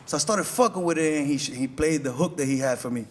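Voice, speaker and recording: A man's voice: on the high side, quick pace, slight background noise. Slangy young man telling studio stories. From United States. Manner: loose, slangy storytelling with many restarts. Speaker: rapper guest. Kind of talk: casual podcast chat.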